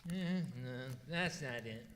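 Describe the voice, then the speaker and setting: A man's voice: medium pitch, a little slow, pushed and bright, a little hiss or hum. A man playing a mild, goofy, lovesick suitor in an old-time radio comedy, with a hesitant, corny delivery. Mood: earnest, goofy. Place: live performance in a museum hall. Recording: reverberant hall, live stage.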